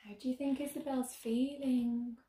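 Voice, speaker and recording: A young woman reading a picture book aloud to children as a storyteller, expressively, with gentle questions to her listeners. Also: high voice, flat delivery, normal speed. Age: young adult. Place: small room at home, indoors. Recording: roomy indoor echo.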